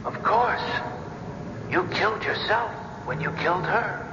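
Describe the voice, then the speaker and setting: A ghostly younger self: calm, stern and measured, his high voice drawn out slowly. The words ring through a long, echoing hall. The recording is muffled old radio with steady hiss. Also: creaky, over music. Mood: solemn. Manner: slow, measured, solemn. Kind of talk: 1970s radio drama acting.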